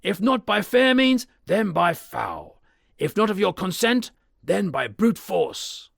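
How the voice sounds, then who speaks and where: A man voicing a stern middle-aged male lawyer who talks in a formal, commanding way with a gravelly edge. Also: fairly high pitch, expressive, moderate pace, no echo, clean studio sound, voice pushed up, full character voice.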